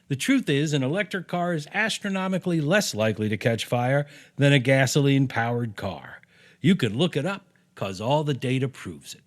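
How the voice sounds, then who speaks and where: An American man reading his own opinion piece aloud in a punchy, sarcastic, salty-tongued way, as a podcast host. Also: a little high.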